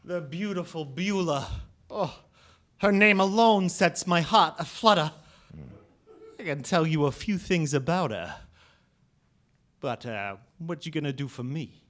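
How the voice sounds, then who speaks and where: High, bright-voiced blustering mobster. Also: male voice, lively intonation, measured pace, pauses often. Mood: smitten, sentimental. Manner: theatrical, blustering. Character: big male mafia tough guy, petulant, secretly sentimental romantic. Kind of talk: 1940s radio comedy-mystery acting. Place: stage before a studio audience.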